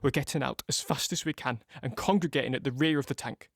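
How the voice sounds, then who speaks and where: An actor playing a young wounded British soldier in an audio drama, reliving battle in a halting present-tense monologue with repeated words. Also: male voice, medium pitch, creaky, no room sound, studio quality. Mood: shaken, urgent. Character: young British soldier, wounded in Normandy, shaken but dogged.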